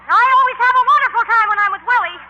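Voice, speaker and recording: High-voiced sweet young American singer. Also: female voice, rapid-fire, background noise. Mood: wistful, gentle. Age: young adult. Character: sweet, wistful young female band singer. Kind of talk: 1940s radio acting. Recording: dull vintage radio recording, loud music.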